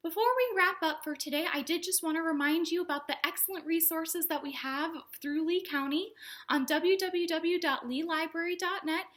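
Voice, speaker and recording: A woman hosting a storytime for young children, speaking in simple explanatory phrases with an animated, sing-song delivery. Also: very high-pitched, moderate pace. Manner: animated, exaggerated questions and exclamations for young children. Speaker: children's librarian and storytime host.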